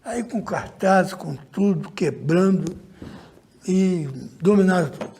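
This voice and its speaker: A Brazilian man with a rough, husky voice recalling things spontaneously, as in an interview. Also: sits high, slow pace, a little mellow. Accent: Brazilian Portuguese.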